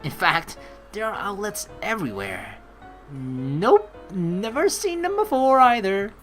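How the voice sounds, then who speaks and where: A woman's voice: mid-range voice, big pitch swings, slow. A young woman playing through a game, reading its text aloud expressively and adding playful, casual commentary. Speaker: let's play host. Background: game music.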